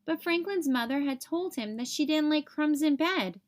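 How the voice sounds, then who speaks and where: Female storybook reader, high-pitched voice, expressive delivery for a young audience. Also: moderate pace. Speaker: read-aloud host for young children. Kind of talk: children's storybook read-aloud narration.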